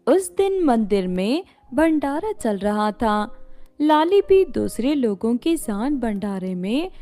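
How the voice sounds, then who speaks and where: A woman's voice: a little high, mellow. A woman storyteller reading a scripted fairy-tale narration.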